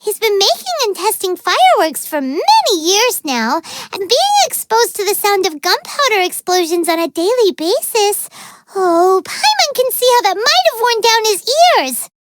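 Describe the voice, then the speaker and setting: A woman's voice: extremely high pitch, big pitch swings, normal speed, hardly any pauses, a little hiss or hum. A woman voicing a tiny, childlike fairy companion in a video game, greedy, naive and dramatic, with an exclamatory, whiny manner. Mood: worried. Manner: speaks of self in third person, exclamatory, whiny. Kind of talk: video game character dialogue.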